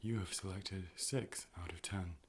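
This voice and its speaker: A man playing a calm, polite robotic AI optician in an ASMR role play, speaking in a measured, formal, deadpan way. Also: low voice, a little hiss or hum. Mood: calm, clinical. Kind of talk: ASMR medical role play, personal attention.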